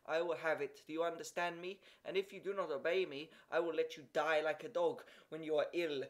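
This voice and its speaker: A man's voice: on the high side, steady tone, a little fast, lightly acted. A man playing a stern, scolding country doctor. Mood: stern, angry. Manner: commanding, scolding.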